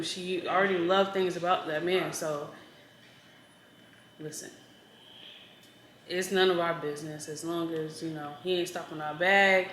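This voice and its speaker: A woman with a mellow voice hosts a casual sit-down chat, playful and quick to give her opinion. Her voice bounces around an echoey room, with a faint hiss underneath. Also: fairly low pitch. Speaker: vlog host.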